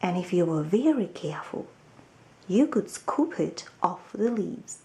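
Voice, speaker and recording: A woman reads a children's story in a soft, mellow voice. Her pace is slow and measured, and her intonation rises and falls expressively as she narrates. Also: fairly low pitch, very animated. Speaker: storybook reader.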